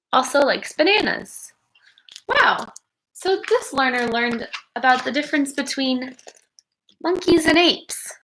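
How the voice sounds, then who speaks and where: A woman educator reading a picture book aloud to children, expressive and encouraging, pausing to wonder aloud and speak to her listeners. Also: high-pitched, creaky, low-quality recording.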